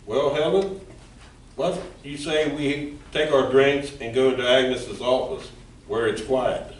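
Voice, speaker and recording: Man playing a smooth-talking gangster, distant and echoey. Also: medium pitch, measured pace, natural voice. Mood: flirtatious, sly. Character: smooth-talking male gangster villain, flirtatious and menacing. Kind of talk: amateur community theatre reading. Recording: roomy, distant mic with background noise.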